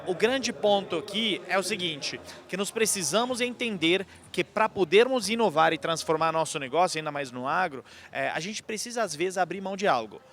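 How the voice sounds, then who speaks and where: Italian-accented man, bright and high. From Italy. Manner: fluent, explanatory, many "é" fillers. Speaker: guest speaker. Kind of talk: interview answers. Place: outdoors at a convention.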